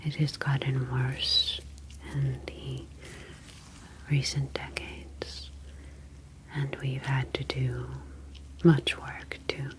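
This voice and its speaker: A calm, superior alien scientist from the ocean , played by a woman in an ASMR roleplay. The voice is a low, breathy whisper that stays soothing and very even. Each formal phrase is placed slowly and deliberately. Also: heavily acted, rough recording. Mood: grave, disapproving.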